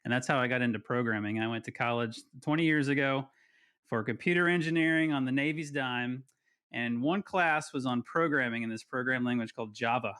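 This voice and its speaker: A man's voice: medium pitch, a little fast, a bit bright, smooth. A man in his forties, a podcast guest telling his story in a chatty, self-deprecating way. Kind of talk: casual podcast interview.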